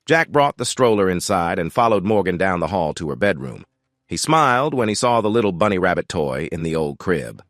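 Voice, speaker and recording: A man narrating an audio drama in a steady, measured storytelling voice on a close mic. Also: low-pitched, no echo.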